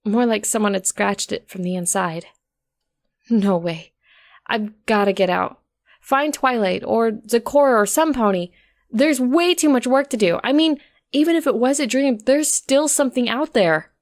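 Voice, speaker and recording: A woman's voice: on the high side, normal speed, no room sound, studio quality, close to an everyday voice. A woman voicing a stubborn, sarcastic young mare who tells her own story in an informal, conversational way. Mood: urgent, determined. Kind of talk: first-person dramatic story narration.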